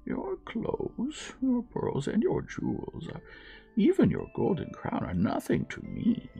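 Fairy-tale frog, high-pitched, slow, imploring, persistent, swooping intonation. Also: male voice, huge pitch swings, creaky, warm tone, breathy edge, soft background music, full character voice. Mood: earnest, insistent.

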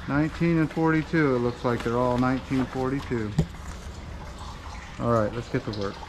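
A man's voice: medium pitch, steady tone, moderate pace, noisy background. A middle-aged American man narrating a casual vlog in a folksy, rambling way, as if chatting to himself and the viewer. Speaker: vlogger and tradesman.

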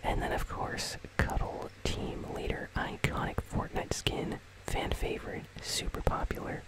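A gaming host delivers ASMR commentary in a continuous whisper. The voice is breathy and unvoiced, with no full tone. The delivery is soft and casual, rambling gently from one remark to the next. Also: a little slow, low-quality recording. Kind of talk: ASMR whispered commentary on a game item shop.

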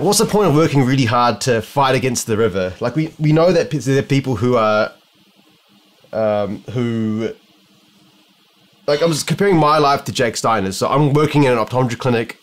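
A young man with a New Zealand accent, an optometrist talking to the camera from his living room in a casual vlog, musing and rambling with many restarts. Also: medium pitch. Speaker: optometrist vlogger. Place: home living room, indoors.

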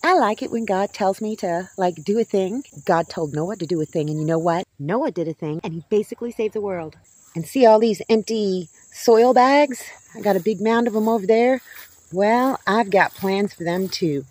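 A woman's voice: medium pitch, moderate pace, darkish tone, dry recording. A middle-aged woman chatting casually as she films her homestead outdoors, folksy and talking to her chickens now and then. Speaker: homesteading vlogger. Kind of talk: casual vlog chat. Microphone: handheld camera or phone at arm's length.

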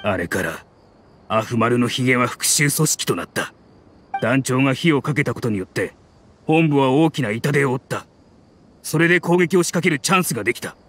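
An actor voicing a grim, loyal male mercenary in a game dub, telling a grave story in a measured way. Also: mid-range voice, expressive, fairly bright tone.

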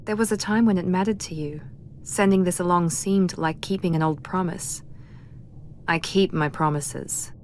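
Actress as cool female game officer, lowish voice, precise, self-assured, slight ambient noise. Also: moderate pace, a little mellow, close to an everyday voice. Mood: cool, defensive. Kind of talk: video game acting.

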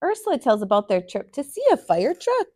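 An American woman in her thirties, a teacher reading a picture book aloud to children in an expressive, sing-song way. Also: a little high, a little fast. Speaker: kindergarten teacher, storyteller. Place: small furnished room, indoors.

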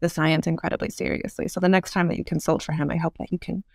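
A woman in a thoughtful interview conversation, with a noticeably creaky, gravelly edge to her voice. She explains carefully and stresses points by repeating them. The sound is dry, with very little room in it. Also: deep voice, little pitch movement, quick pace, darkish tone, dry recording. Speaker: podcast guest, futurist author.

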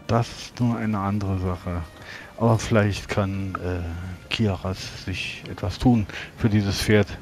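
Man playing a low-voiced, naive, genteel gentleman. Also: fairly even intonation, slow, few pauses. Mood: genteel, hesitant. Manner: hesitant, genteel, prim phrasing. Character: naive, well-meaning, sheltered gentleman adventurer. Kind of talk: in-character tabletop roleplay. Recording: music behind.

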